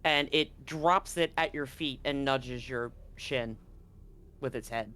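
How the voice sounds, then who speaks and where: A man acting as game master, narrating a tabletop roleplaying game in a deliberate, halting way. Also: medium pitch, pushed and bright, clear, resonant tone.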